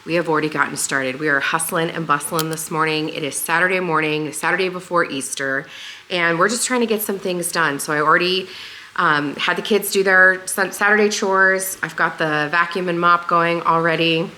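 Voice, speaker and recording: Low-voiced woman, slightly hoarse, chatty. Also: fairly even intonation, normal speed, bright, forceful tone, poor audio. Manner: rambling and friendly, with many fillers. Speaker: home vlogger and channel host. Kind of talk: casual chatty home vlog. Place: living room of a family home.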